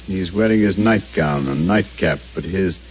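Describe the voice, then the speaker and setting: A commanding radio-play detective: low, lively, precise, over faint hiss. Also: male voice, measured pace. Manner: crisp, precise, confident. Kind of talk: 1940s radio acting. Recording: muffled old radio broadcast, faint hiss.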